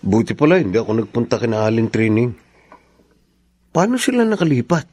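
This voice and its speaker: An actor playing a concerned, protective brother in a Filipino radio drama, earnest, with a Filipino accent. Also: male voice, medium pitch, sing-song, a little fast, a little mellow, dry recording. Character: protective, concerned brother, serious young man.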